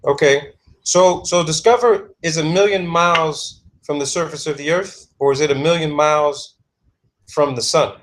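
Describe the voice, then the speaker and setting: Male caller, fairly high voice, eager, repeats words. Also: normal speed, a bit bright. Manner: stammering, eager questions. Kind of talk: phone conversation.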